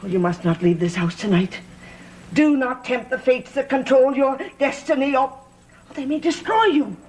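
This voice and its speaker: A woman's voice: sits high, normal speed, natural voice. A woman playing a devoted, anxious servant in an old gothic television soap, speaking with grave, urgent deference. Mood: anxious, pleading. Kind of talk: 1960s-70s gothic soap opera acting. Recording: old TV soundtrack with loud background music.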